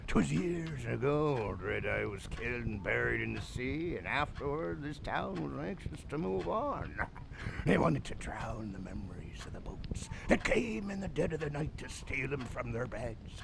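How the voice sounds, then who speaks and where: A man's voice: on the high side, noisy background, full character voice. An actor playing a drunk old seaman who spins grim tavern tales in a theatrical, ominous manner. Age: older. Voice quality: gravelly, creaky. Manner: theatrical, ominous, salty old-sailor phrasing. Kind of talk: theatrical horror audio drama.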